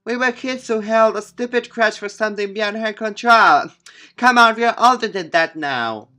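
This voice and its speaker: A man voicing an earnest, easily flustered teenage schoolboy, the protagonist of a visual novel, in a halting manner. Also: sits high, bright, forceful tone, voice pushed up. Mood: pleading.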